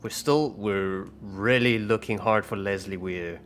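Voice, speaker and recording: Man playing a low-voiced, friendly investigator. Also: measured pace, a bit bright, soft background music. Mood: tactful, probing. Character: friendly, tactful investigator questioning a witness. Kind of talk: in-character tabletop roleplay.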